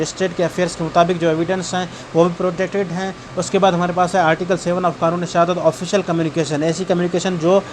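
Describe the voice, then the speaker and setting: Male law tutor, Pakistani accent, fairly high voice, explanatory exam-prep delivery, slight noise behind him. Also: rough recording. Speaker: law lecturer. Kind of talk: exam-prep lecture, reading out statutes and explaining them.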